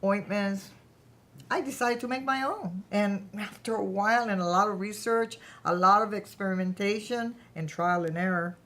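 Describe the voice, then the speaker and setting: A woman's voice: mid-range voice, normal speed, a bit bright, close to an everyday voice. A woman playing a proud, ambitious, chatty founder of her own company in a staged play reading. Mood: proud, reminiscing.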